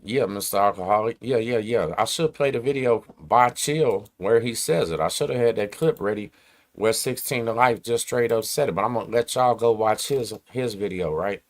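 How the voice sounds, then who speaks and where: Guy in his 40s ranting at the camera, lowish voice, lots of slang, keeps repeating himself. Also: normal speed. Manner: streetwise slang, emphatic and repetitive. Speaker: host. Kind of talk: casual rant to camera.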